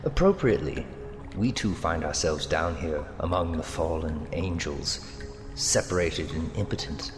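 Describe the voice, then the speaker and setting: Deep-voiced, silky villain in cavernous reverb. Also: male voice, expressive, measured pace. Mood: mocking. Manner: theatrical, taunting, seductive speech. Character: silky, menacing male villain, obsessively amorous and mocking. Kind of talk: audio drama acting. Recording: long cavernous reverb with music underneath. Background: dramatic music.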